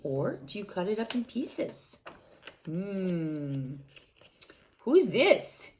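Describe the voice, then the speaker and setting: A woman reading a storybook aloud to children, animated and asking them questions, sometimes dropping to a whisper. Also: mid-range voice, very slow.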